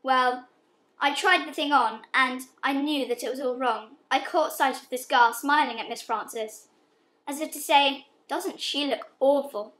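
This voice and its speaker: Earnest, guilt-prone young society daughter, high voice, roomy amateur radio-play sound woman performer. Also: measured pace, fairly bright tone. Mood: remorseful confession. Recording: echoey room, amateur recording.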